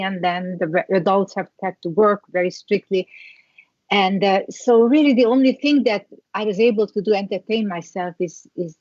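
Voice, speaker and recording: A woman's voice: mid-range voice, a little mellow, no echo, highs cut off. Hungarian-accented woman telling her story. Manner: reflective, halting with many restarts, laughs lightly. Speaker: guest, skincare founder. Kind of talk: interview storytelling.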